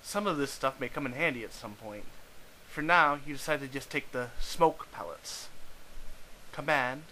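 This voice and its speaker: Man, bright forceful voice, scripted story narration, mid pitch, faint noise in a home recording. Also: lively intonation, moderate pace, poor audio. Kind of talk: scripted narration read aloud.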